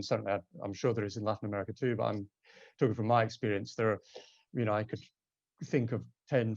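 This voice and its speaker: A man's voice: fairly low pitch, a little mellow, no room sound, dull audio. A man who works as a theatre translator, talking casually on a post-show panel in a hesitant, self-correcting way.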